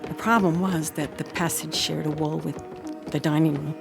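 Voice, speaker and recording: A proud, haunted housekeeper tells her tale in an audio drama. Her voice is on the low side, expressive and deliberate, with soft music beneath it. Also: gravelly. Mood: haunted, grave.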